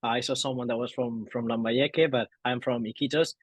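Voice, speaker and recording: A man's voice: medium pitch, steady tone, brisk, dry recording. Nineteen-year-old man from Peru introducing himself. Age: teens. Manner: earnest, grateful. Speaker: program winner, guest. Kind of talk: self-introduction on a call. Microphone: video call.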